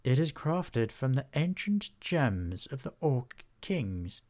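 A man voicing a slow, courteous, ancient female dragon in a storybook reading. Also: medium pitch, very animated, darkish tone. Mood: admiring.

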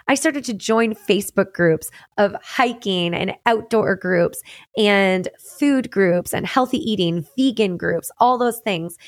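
A woman's voice: mid-range voice, no echo. Earnest young woman podcaster, dry sound. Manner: earnest, reflective, conversational with frequent "um" and "like". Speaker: podcast host and singer. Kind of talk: candid personal podcast monologue.

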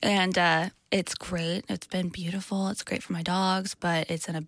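A woman's voice: fairly low pitch, flat delivery, normal speed, no echo. A woman, a business owner guesting on a podcast, blunt and joking in a laid-back way. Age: 30s. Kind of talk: casual chat.

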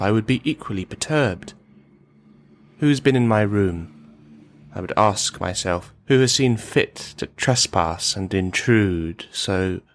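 A man playing a lonely, menacing misogynist in a radio play monologue, speaking in formal, mannered, halting phrases. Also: fairly low pitch.

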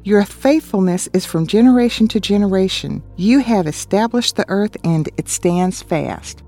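A woman co-hosting a radio ministry, reading a scripted Bible study in a measured way and citing chapter and verse. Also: medium pitch, animated, dark tone, soft background music.

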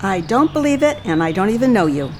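An actress playing a blunt, commanding seventy-one-year-old Army veteran who barks clipped orders in a stage play. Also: female voice, medium pitch, very animated, quick pace, darkish tone, a little hiss or hum, natural voice. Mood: blunt encouragement. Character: brisk, bossy 71-year-old woman, ex-Army fitness drill-sergeant. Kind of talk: scripted two-person stage comedy-drama.

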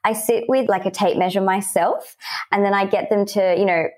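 A woman's voice: medium pitch, fairly even intonation, moderate pace. A young woman with an Australian accent, chatting as a podcast guest and telling her story in an upbeat way, laughing often. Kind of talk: casual podcast interview.

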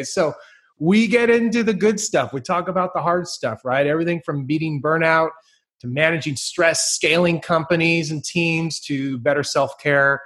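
An enthusiastic man hosting a podcast interview, his voice sitting a little higher than most men's. He talks with eager energy and laughs easily while introducing his guest. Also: a bit bright. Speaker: podcast host.

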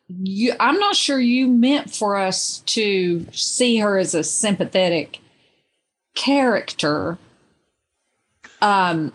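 Slow-talking woman in reflective conversation. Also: medium pitch, fairly bright tone. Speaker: podcast guest, reader. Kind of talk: reflective conversation about fiction.

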